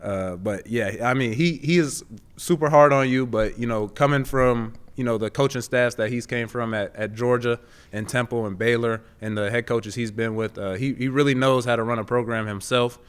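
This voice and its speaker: A young man in his twenties with a smooth, clean voice at an average male pitch. He talks like an athlete in a sports interview, conversationally, circling back on words as he finds his answer. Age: 20s. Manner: halting, with repeated words and frequent "you know". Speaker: professional football player being interviewed.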